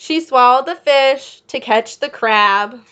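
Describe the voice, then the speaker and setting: A woman reading a picture book aloud as a children's teacher, encouraging young listeners with questions and pausing for their answers. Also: high-pitched, a bit bright, smooth, dull audio.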